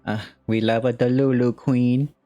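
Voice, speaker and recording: A woman's voice: a bass voice, fairly even intonation, a little slow, warm tone, highs cut off, faint music behind. A woman giving casual gaming commentary with slangy, teasing asides. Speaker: game streamer reacting to a story quest.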